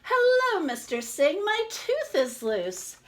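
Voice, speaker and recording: A woman voicing an excited, proud little girl from a storybook, calling out in drawn-out exclamations. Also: very high-pitched, voice pushed up, full character voice. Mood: eager. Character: excited proud little girl announcing her loose tooth.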